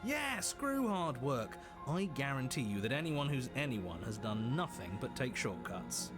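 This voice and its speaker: Horror visual novel role: an exasperated, theatrical voice in the head, very animated intonation, over music. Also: male voice, mid-range voice, unhurried. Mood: scornful. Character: male narrator and squabbling voices in the hero's head, theatrical, exasperated. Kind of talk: dramatic visual novel voice acting.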